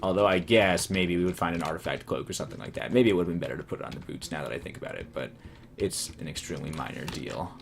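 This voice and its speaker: Low male let's-play host, wandering self-amused musings, voice turning hoarse, faint keyboard noise underneath. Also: quick pace. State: voice going hoarse. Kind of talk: casual gameplay commentary.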